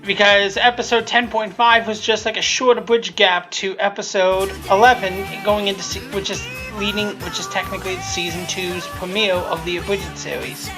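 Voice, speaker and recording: A woman's voice: medium pitch, fairly even intonation, normal speed, few pauses, over music. A woman giving a casual, unscripted commentary in a rambling, blunt way, often restarting and repeating her phrases. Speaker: creator of a fan abridged series.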